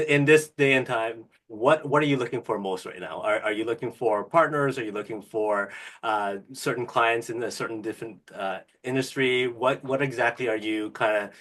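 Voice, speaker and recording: A man hosting a podcast interview, friendly and halting, often repeating words as he starts. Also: mid-range voice, a bit bright. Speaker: podcast host. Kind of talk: casual interview.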